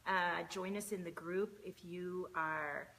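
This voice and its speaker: A woman's voice: lowish voice, steady tone, takes it slowly, bright, forceful tone. A woman, hosting a casual live stream, chatty and greeting viewers by name between many fillers. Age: 40s.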